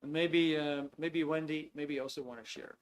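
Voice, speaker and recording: A man's voice: on the high side, steady tone, normal speed, a little hiss or hum. A man in his fifties with a Dutch accent, hosting a live online webinar in an easygoing, chatty way with many hesitations. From Netherlands. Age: 50s. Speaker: webinar host. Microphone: video call computer mic.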